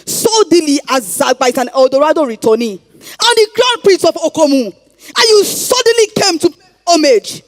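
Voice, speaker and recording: A haughty Nigerian village mother on stage. Her voice is very high and swoops widely as she declaims scornful, proverb-laden rebukes in protective pride. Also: very animated, moderate pace. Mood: scornful outrage. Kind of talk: theatrical stage play acting.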